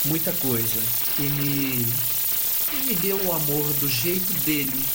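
A man in his fifties hosting a lunchtime radio show, speaking heartfelt words to his listeners and making emotional, preacher-like appeals with repeated lists. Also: a little high. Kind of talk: heartfelt on-air talk to listeners. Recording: noisy radio broadcast recording.

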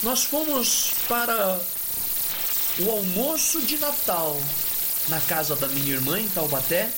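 A man's voice: sits high, lively intonation, takes it slowly. Noisy, emotional radio host, man in his fifties. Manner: emotional, preacher-like appeals with repeated lists. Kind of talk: heartfelt on-air talk to listeners. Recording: noisy radio broadcast recording.

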